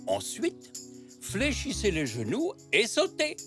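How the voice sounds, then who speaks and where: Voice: mid-range voice, takes it slowly, soft background music, full character voice. A performer voicing a kindly old zebra grandfather who instructs and encourages in a children's cartoon. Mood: coaching. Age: older. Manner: animated, instructive.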